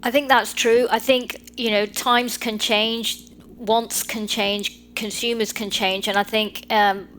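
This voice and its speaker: A woman in her forties with a London accent, a lawyer giving measured advice in a podcast interview. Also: on the high side, little pitch movement, a bit bright, a little hiss or hum. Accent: London English. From Britain. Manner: measured, advisory, with fillers. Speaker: guest lawyer.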